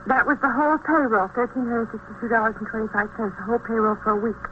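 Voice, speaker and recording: A woman's voice: fairly high pitch, fast talker, natural voice. An actress playing an earnest, flustered American bookkeeper in her thirties, talking in a plaintive, wordy way in old radio drama style. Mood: shaken, earnest. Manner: plaintive, wordy, repeats herself. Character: flustered, earnest female bookkeeper, mid-thirties, robbery victim. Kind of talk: 1950s radio drama acting. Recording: tinny, noisy vintage radio recording.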